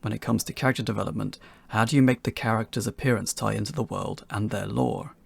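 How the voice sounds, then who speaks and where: Gravelly, husky man presenting a tutorial. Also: on the low side, fairly even intonation, fast talker. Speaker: presenter of a beginners' tutorial talk. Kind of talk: scripted instructional narration.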